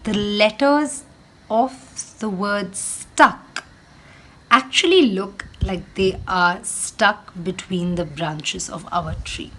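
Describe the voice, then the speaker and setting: A woman teacher reading a picture book aloud to children in a slow, expressive storytelling manner, speaking directly to her young listeners. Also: mid-range voice, a little hiss or hum, poor audio.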